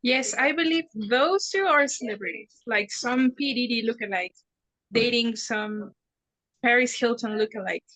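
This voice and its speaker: A woman's voice: a little high, measured pace, a bit bright, no echo, poor audio. A young woman from Mexico City, a teacher answering exam questions confidently and with humor. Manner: confident, joking. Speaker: exam candidate, high school teacher.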